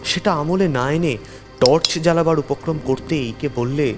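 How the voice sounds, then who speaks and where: A man's voice: a little high, normal speed. A man narrating a scripted horror story in the first person, with a suspenseful, theatrical delivery. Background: music under the voice.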